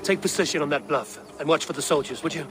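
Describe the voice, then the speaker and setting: An actor playing a bold, cunning Norse Viking raider with a theatrical streak in a video game. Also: male voice, fairly high pitch, fast, over music, lightly acted. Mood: brisk, assertive.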